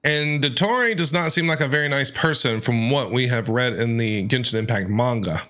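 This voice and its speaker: A man's voice: medium pitch, expressive, moderate pace, pushed and bright. A man giving casual, playful reaction commentary as he streams a game, responding aloud to the story. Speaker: gaming streamer. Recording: game music behind.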